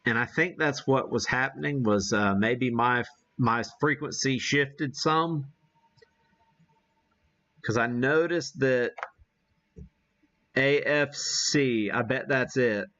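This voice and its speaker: An American man hosts a casual live demo with an unhurried, folksy delivery. He thinks aloud as he works, in an average-pitched voice. The sound is slightly muffled and lo-fi. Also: fairly bright tone. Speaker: host demonstrating amateur radio live. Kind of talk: casual live-stream chat while operating.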